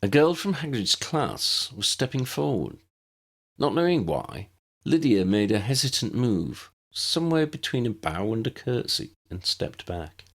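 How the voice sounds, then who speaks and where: Low-key man narrating with lively pitch swings. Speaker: audiobook narrator. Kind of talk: scripted story narration.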